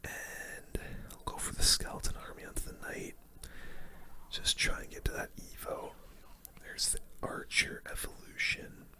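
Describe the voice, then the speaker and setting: A gamer whispering softly and breathily into a close microphone, giving ASMR-style running commentary on play while musing aloud. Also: measured pace. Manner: soft whispering, mild swearing, musing aloud. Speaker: gaming video creator.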